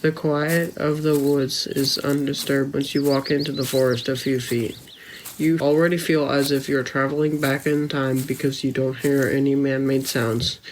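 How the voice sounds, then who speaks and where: Guy playing a kid telling his adventure on a story podcast, earnest, descriptive, a bit touchy, medium pitch voice. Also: level tone, moderate pace, slight background noise, close to an everyday voice, rough recording. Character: earnest, easily irked boy recounting an adventure. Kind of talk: scripted first-person storytelling for a family podcast.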